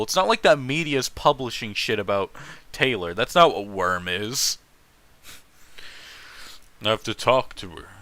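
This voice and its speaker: A man hosts a casual read-aloud with his own running commentary. His voice is bright and forceful, with lively swings in pitch. The recording is dry and close, and he speaks bluntly, swearing freely. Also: dry recording. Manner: animated, opinionated, profane. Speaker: host reading fan fiction aloud.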